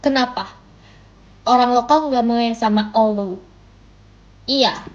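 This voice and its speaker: A young woman chats playfully on a phone livestream, teasing and poking fun at herself. Her voice sits a little high and she talks at an unhurried, drawn-out pace. The sound is dull and lo-fi, like a phone mic. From Indonesia. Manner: playful, teasing, self-mocking banter. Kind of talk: casual chat. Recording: dull lo-fi phone stream audio.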